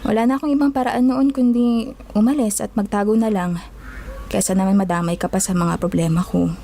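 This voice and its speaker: A woman playing a gentle, apologetic young mother in an emotional audio drama. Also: mid-range voice, fairly even intonation, brisk, vocal fry, a little hiss or hum, lightly acted. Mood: wistful. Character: gentle, apologetic young mother, long-lost best friend.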